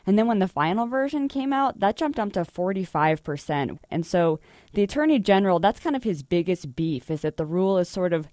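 A woman's voice: medium pitch, lively intonation, brisk, darkish tone, dry recording, clean studio sound. An American woman reporter explaining the news in a conversational interview style. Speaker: energy reporter.

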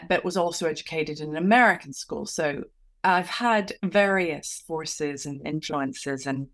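A woman coach and author, born in Venezuela, giving reflective interview answers with frequent restarts. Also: low voice. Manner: reflective, with many restarts and fillers.

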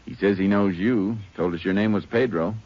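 An actor playing a calm, methodical, kindly Texas Ranger who narrates and acts in an old radio drama. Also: male voice, fairly low pitch, moderate pace, close to an everyday voice. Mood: probing. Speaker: lead and narrator. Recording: muffled, noisy old radio recording.

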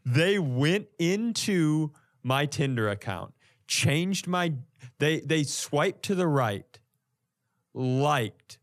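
Animated, higher-voiced man telling comic stories. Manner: animated, exasperated comic delivery, profane. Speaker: comedian, podcast co-host. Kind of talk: casual comedic storytelling podcast chat.

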